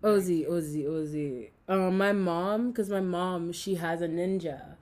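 A young woman co-hosting a podcast, chatting casually and telling animated stories about her family. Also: fairly low pitch, measured pace. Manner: animated storyteller who acts out family dialogue, laughs easily. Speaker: podcast co-host. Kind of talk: casual chat.

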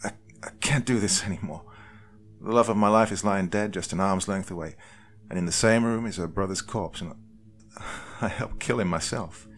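A man's voice: sits low, animated, fairly quick, slight background noise. A man voicing a grief-stricken, pleading young man with a trembling voice in a horror story. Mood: despairing.

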